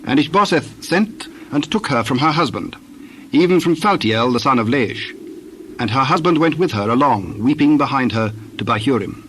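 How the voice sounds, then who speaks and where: A man narrating scripture from a script in a solemn, measured style, phrase by phrase. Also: medium pitch, lively intonation, fairly bright tone, a little hiss or hum, poor audio.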